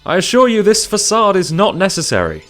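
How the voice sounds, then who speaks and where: A man playing an older, cynical Time Lord ambassador in an audio drama, formal and measured in manner. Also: sits high, wildly swooping pitch, fairly bright tone, faint music behind, voice pushed up, full character voice. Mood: dismissive.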